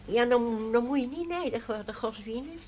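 Actress as meek village woman, fairly high voice. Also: normal speed, darkish tone, a little hiss or hum, natural voice. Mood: soothing. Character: meek, trusting village woman patient, soothing and devout. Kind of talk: television drama acting. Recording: television soundtrack with busy background chatter.

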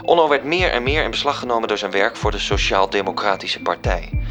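A man from the Netherlands performing as a literary narrator in a radio play, telling the story in a measured way. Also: fairly low pitch, expressive, over music, natural voice. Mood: measured, storytelling.

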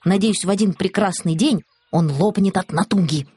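A woman's voice: medium pitch, moderate pace, dark tone, husky, dry recording. A woman voicing a young male kitten for a children's story, grumpy, jealous, whining and muttering complaints. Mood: grumpy, scornful.

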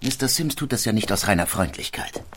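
An actor playing a proud, determined German inventor and entrepreneur with an assured, insistent manner in an audio docudrama. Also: male voice, lowish voice, expressive, fairly quick, slight background noise, close to an everyday voice. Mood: grave, insistent. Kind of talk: scripted audio docudrama dialogue.

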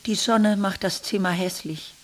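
A woman's voice: mid-range voice, unhurried, slight background noise, lightly acted. An actress playing a restless woman in a stale love affair in a literary radio drama. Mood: brooding.

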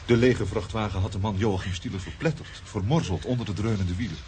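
An actor playing a sober, thoughtful man recounting strange events in a radio drama, earnest and measured. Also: fairly low pitch, natural voice. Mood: grave, dramatic. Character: sober, earnest male friend, thoughtful witness to the uncanny. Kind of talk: literary radio drama narration. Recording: faint background noise.

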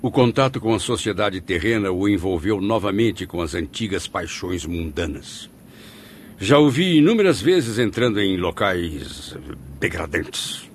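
Dramatic, deep-toned Brazilian spirit storyteller. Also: male voice, very animated, a little slow, a little hiss or hum, full character voice. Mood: disapproving. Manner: dramatic, literary, solemn. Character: male spirit apprentice recounting his past, troubled and judgmental. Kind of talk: radio drama narration and acting.

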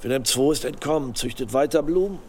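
An actor playing a cynical, seasoned vice cop in a radio drama, terse and wry. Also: male voice, mid-range voice, a little fast, darkish tone, slight background noise, lightly acted. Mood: wry, confident.

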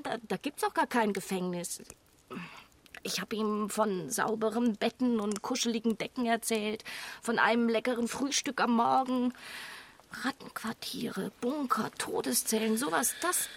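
An actress playing a compassionate, earnest woman in a naturalistic radio drama, speaking haltingly and repeating words with emotional involvement. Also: on the high side, a little hiss or hum, lightly acted. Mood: pleading. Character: compassionate, earnest woman pleading for a sheltered stranger.

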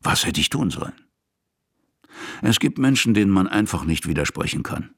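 An actor playing an older Russian officer who recounts a past affair in plain, conversational radio drama narration. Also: male voice, low-pitched, big pitch swings, normal speed, creaky, heavily acted. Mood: wry, matter-of-fact.

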